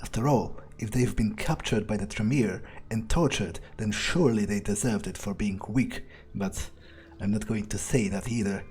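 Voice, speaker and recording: A cynical, coolly arrogant vampire as a male let's player plays him thinks aloud in a soft, dark-toned voice. The delivery is casual and hesitant, with a husky edge. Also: lowish voice, moderate pace. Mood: detached, skeptical. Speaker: let's player role-playing in character. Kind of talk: in-character game playthrough commentary.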